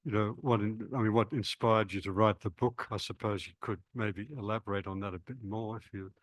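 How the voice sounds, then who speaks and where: A man in the audience puts a question to the speaker, halting and polite. His voice is low-pitched and the sound is dry. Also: fairly even intonation, dry recording. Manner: hesitant, polite. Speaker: audience member asking a question.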